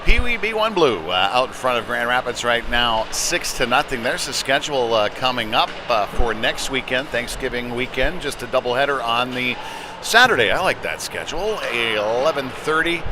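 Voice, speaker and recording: Man calling hockey over loud rink noise. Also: medium pitch, expressive, moderate pace. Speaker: live sports commentator. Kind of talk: live hockey play-by-play commentary. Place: indoor community ice rink. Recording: noisy ice arena ambience.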